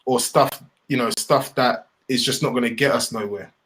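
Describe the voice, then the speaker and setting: A man with a mid-range voice talks in an animated, emphatic way, using plenty of slang and often repeating himself to drive a point home. He sounds like a co-host in a relaxed conversation. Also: mid-range voice, normal speed. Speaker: podcast co-host. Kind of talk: casual discussion.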